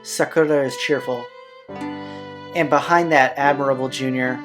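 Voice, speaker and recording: A man with a medium-pitched voice hosts a visual novel playthrough. He talks informally and reads text aloud plainly, with game music playing behind him. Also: steady tone, moderate pace. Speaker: playthrough host. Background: game soundtrack music.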